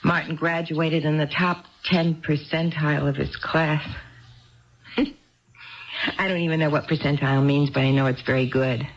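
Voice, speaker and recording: Confused, lonely barfly wife: rambling, unsure, needy for approval. Vintage radio drama, dull limited-band sound. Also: female voice, low voice, fairly even intonation, measured pace. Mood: chatty, unsure. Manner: hesitant, rambling, seeking reassurance. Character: confused, chatty, insecure wife drinking alone in a bar. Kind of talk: 1970s radio drama acting. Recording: band-limited 1970s radio broadcast, faint music.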